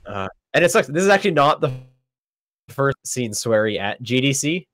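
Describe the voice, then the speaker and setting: A man's voice: medium pitch, moderate pace. A man with a cold and a stuffy, sniffly voice, hosting a casual podcast and animatedly acting out the lines of people in his stories. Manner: animated storyteller, acts out quoted lines. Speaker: podcast host. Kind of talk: casual chat.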